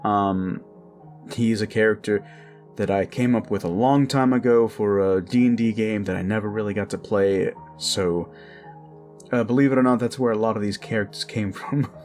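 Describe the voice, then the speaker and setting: A man's voice: on the low side, fairly even intonation, moderate pace, a little mellow. A man hosting his own audio channel, chatting casually to his listeners in an informal outro. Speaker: audio creator and channel host. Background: music.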